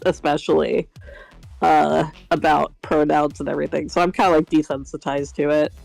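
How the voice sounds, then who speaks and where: A woman with a deep voice chatting casually on a stream, hesitant with many fillers. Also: little pitch movement, normal speed, gravelly, darkish tone, no room sound, faint music behind. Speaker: guest streamer. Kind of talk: casual chat.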